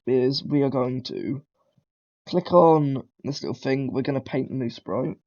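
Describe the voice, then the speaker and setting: A man's voice: medium pitch, unhurried, darkish tone. Man, has a cold, casual screen tutorial, slightly muffled lo-fi mic. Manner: rambling, self-correcting, lots of ums and throat clearing. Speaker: tutorial maker.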